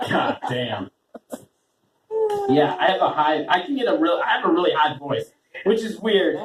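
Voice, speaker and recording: A man's voice: on the high side, lively intonation, measured pace, long pauses. A man hosting an open mic and doing a rambling, self-deprecating stand-up set, laughing often. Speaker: open mic host and comedian. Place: small open mic venue with a talking audience. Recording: roomy audience recording from far off.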